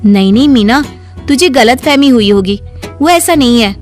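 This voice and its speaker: A fairly high voice of an affectionate young sister, played by a woman. She talks in an earnest, chatty cartoon-story manner over plucked guitar music. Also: animated, moderate pace, darkish tone, natural voice. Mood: reassuring. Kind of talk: acted dialogue in Hindi moral story cartoon.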